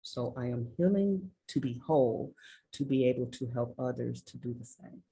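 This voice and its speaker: A woman from Michigan telling her story as an interview guest, slow and earnest, with frequent ums and restarts over a video call. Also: extremely low pitch, gravelly, dark tone, slightly rough, low-quality recording. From Michigan, USA. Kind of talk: reflective personal storytelling. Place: rural village in southern Belize.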